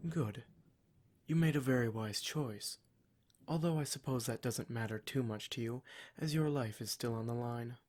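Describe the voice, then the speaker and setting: A man's voice: mid-range voice, normal speed, darkish tone, a little hiss or hum, lightly acted. A man playing a cruel, smug demon in a scripted ASMR roleplay, speaking in a formal, mock-polite and menacing way. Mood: threatening. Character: cruel, smug male demon, taunting captor of adventurers.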